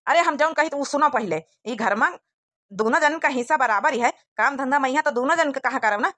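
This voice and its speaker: A woman's voice: sits high, a little fast, fairly bright tone. A woman voicing a practical grown village son and husband in a cartoon comedy, speaking firmly and matter-of-factly with an Awadhi accent. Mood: firm, grumbling.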